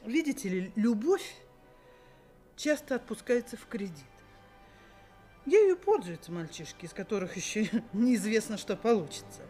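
A woman's voice: mid-range voice, animated, normal speed, warm tone, close to an everyday voice. An actress playing a genteel middle-aged mother in a radio play, questioning her daughter's suitor in a theatrical, insinuating way. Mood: knowing, condescending. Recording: 1980s radio play with faint music.

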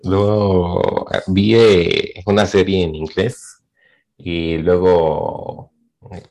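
Young Mexican man, very low voice, laid-back slangy chat. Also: darkish tone. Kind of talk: casual chat among friends.

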